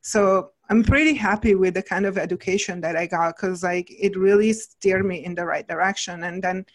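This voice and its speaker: A woman's voice: fairly low pitch, steady tone, moderate pace, rough recording. A middle-aged woman with an Italian accent, talking casually as a guest nutritionist with lots of "like" and "you know". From Italy. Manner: chatty, many fillers like "like" and "you know". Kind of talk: casual interview talk.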